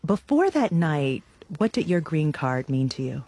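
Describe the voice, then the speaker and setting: A woman hosting a radio interview, delivering scripted introductions and questions in a measured, articulate way. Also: sits low, very animated, dark tone, breathy edge, no room sound.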